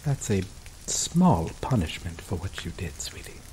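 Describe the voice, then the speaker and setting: A young man voicing an obsessive, possessive male lover in an ASMR roleplay, speaking slowly and softly with dark chuckles. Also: deep voice, poor audio. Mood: obsessive, tender. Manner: slow, soft-spoken, dwelling on words with dark chuckles. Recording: steady rain noise behind voice. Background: rain falling.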